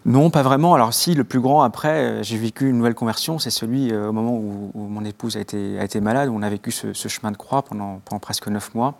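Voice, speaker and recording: A young man from Belgium, speaking as an interview guest, soft-spoken and hesitant with many restarts as he tells his faith story. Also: lowish voice, fast talker, gravelly, few pauses. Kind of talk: reflective faith testimony interview.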